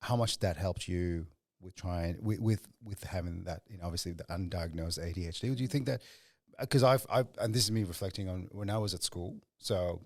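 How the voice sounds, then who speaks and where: A man's voice: deep voice, fairly quick, no echo, clean studio sound. A man from Sri Lanka hosting a podcast interview, asking long, musing questions and reflecting on his own experience. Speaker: podcast host.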